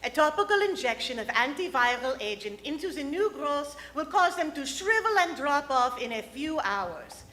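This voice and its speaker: A woman's voice: high-pitched, very animated, moderate pace, hardly any pauses, a bit bright. A woman playing a scheming French villain geneticist with a French accent in a comic pulp radio play, theatrical and gloating. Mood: smug, sly. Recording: live stage radio performance.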